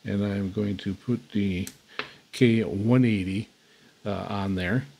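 A man with a slightly low voice talks through a hands-on demonstration. He is unscripted and hesitant, breaking up his sentences with lots of uhs as he explains what he observes. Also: fairly even intonation, gravelly, darkish tone, slight background noise. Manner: hesitant, halting delivery with very frequent uhs. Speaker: host demonstrating shortwave antennas. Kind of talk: unscripted product demonstration commentary. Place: indoors at a radio desk.

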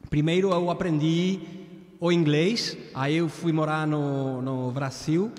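A man's voice: fairly high pitch, darkish tone. Chatty Argentine man with long reverb. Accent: Argentine Spanish. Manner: chatty, switching between Spanish and Portuguese, searching for words. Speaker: singer streaming live. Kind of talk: casual live-stream chat with viewers. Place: small indoor room with a virtual beach backdrop.

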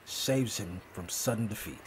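A man's voice: lowish voice, moderate pace, a crowd in the background, close to an everyday voice. A man acting as a dramatic comic-book narrator, reading scripted captions for a fan dub.

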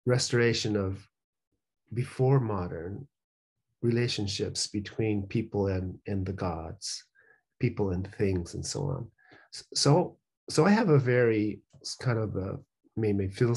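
Man, 60s, husky, lowish voice, reflective, rambling, video call from home study. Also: a little mellow. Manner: rambling, thoughtful, many restarts. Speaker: guest author and professor. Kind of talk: casual reflective conversation.

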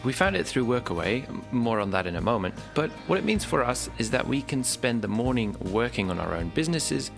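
A young man narrating a vlog in a casual, relaxed, informal way, explaining things as he goes. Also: mid-range voice, a little fast, creaky, hardly any pauses. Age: young adult. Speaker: vlogger. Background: music.

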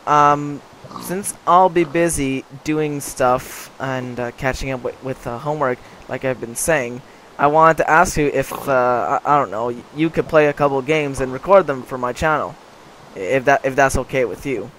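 A man's voice: medium pitch, normal speed, fairly bright tone, a little hiss or hum, low-quality recording. A man hosting his game channel, talking hesitantly with many fillers and restarts. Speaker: channel host. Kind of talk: casual scripted game-video dialogue.

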